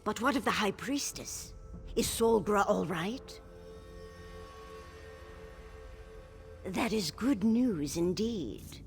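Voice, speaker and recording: A woman playing a resolute older orc queen mother in a video game, speaking in a measured, formal way. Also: medium pitch, expressive, faint music behind. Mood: relieved, concerned. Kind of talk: video game character dialogue.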